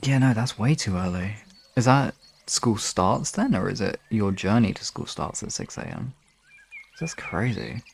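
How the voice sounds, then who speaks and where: A young man talks casually to a livestream. His delivery is unhurried and rambling, with pitch that moves around a lot and a crackly edge to the voice. There is some noise behind him. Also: sing-song, creaky. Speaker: live streamer.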